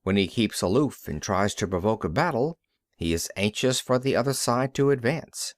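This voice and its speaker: A man's voice: fairly low pitch, expressive, moderate pace, dry recording. Man reading an audiobook, dry close sound. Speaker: audiobook reader. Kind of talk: scripted narration.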